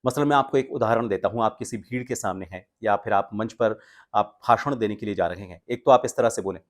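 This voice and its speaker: A man presenting a lesson as an instructor, explaining deliberately and pausing for emphasis. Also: fairly low pitch. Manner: measured, explanatory, deliberate phrasing with pauses for emphasis. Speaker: voice training instructor. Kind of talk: instructional talk on voice modulation, presenting to viewers.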